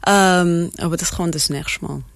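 A woman's voice: on the low side, steady tone, a little slow, no echo. Woman, Swiss German accent, casual interview talk, dry mic, laughs between phrases. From Switzerland. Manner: laughs often, many fillers, mixes in English phrases. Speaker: actress guest.

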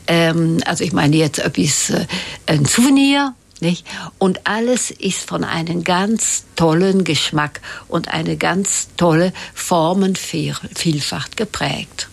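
Older lady guest, raspy and a bit low, lots of ums and restarts. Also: takes it slowly. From Italy. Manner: hesitant, many fillers and restarts. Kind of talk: casual interview chat.

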